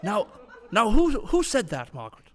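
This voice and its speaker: A man playing an unflappable, pompous 1940s news anchor in a comedy radio drama, speaking in a measured, dryly sceptical manner. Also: sits high, wildly swooping pitch, crowd noise.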